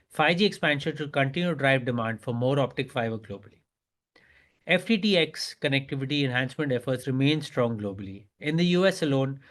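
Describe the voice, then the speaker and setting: A man with an Indian accent and a mid-pitched voice presents as a company executive. He reads prepared business material formally, in the measured register of an earnings briefing. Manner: formal, scripted reading.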